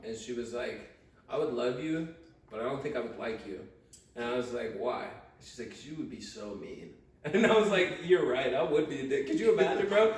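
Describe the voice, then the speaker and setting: A man's voice: mid-range voice, moderate pace. A young man hosting a casual chat with friends, bantering in slang and swearing freely. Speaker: podcast host. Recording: echoey room, distant mic, background noise.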